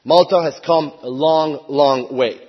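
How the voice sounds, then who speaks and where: A man's voice: a little high, measured pace, husky, muffled recording. A man from Hungary, a member of parliament, giving an earnest prepared speech at a conference and rising to emphatic appeals.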